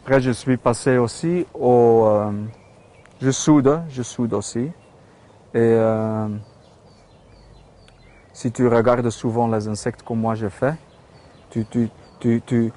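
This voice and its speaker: Flemish-accented man, slow and hesitant. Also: mid-range voice, fairly even intonation, mellow. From Belgium. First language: Dutch. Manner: hesitant, many fillers and restarts. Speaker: metal sculptor. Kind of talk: casual studio tour.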